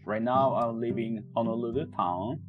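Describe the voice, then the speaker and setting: A man's voice: medium pitch, moderate pace, narrow, tinny audio, over music. A middle-aged man from Japan with a Japanese accent, a minister hosting a casual faith podcast with careful, simple phrasing. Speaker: head minister and podcast host.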